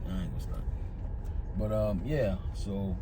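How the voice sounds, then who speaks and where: A man's voice: lowish voice, measured pace. A man talking casually and directly to viewers as a car dealer vlogger, repeating phrases for emphasis, recorded inside a moving car. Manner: conversational, emphatic repetition, addresses viewers directly. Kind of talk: casual storytelling vlog to viewers. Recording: loud car and road noise. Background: engine and road noise.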